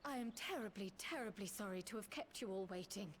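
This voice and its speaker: A woman's voice: mid-range voice, animated, brisk, a little hiss or hum, natural voice. A woman voicing a polite, determined young scholar and magic user in a video game, speaking in a formal, articulate, earnest way. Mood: courteous. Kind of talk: video game cutscene acting.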